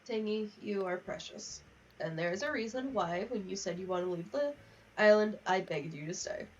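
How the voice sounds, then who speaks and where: A woman's voice: medium pitch, expressive, moderate pace, a little hiss or hum. A young woman chatting casually on a gaming livestream, rambling from one aside to the next. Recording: distant, slightly muffled mic.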